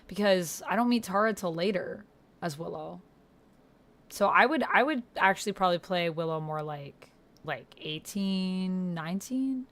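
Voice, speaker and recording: A woman's voice: on the low side, animated, measured pace, a bit bright. A woman livestreaming in a casual, slangy way, reacting expressively and thinking aloud as she goes. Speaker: YouTuber livestreaming a text roleplay game. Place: indoors at a computer.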